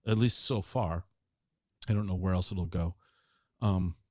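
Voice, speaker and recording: A middle-aged man hosts a casual interview, chatty and playful, teasing his guest with animated asides. His voice sits a little low. The recording is dry and close. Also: unhurried, no room sound. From Washington State. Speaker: podcast host.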